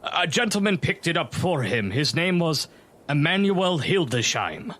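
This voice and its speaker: An actor in an audio drama playing a matter-of-fact ship captain. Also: male voice, fairly high pitch, sing-song, a little slow, a bit bright, full character voice.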